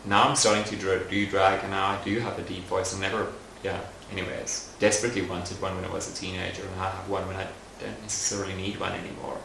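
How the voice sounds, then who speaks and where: Young adult man, documentary interviewee, low pitch, gravelly, unhurried and reflective. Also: flat delivery, a little hiss or hum. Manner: thoughtful, soft-spoken, frequent fillers. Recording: roomy echoey recording.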